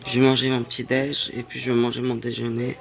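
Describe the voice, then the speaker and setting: A man's voice: mid-range voice, monotone, fast talker, vocal fry, muffled recording. A man chatting casually while reacting to videos, in a teasing, slangy way. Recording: music playing behind.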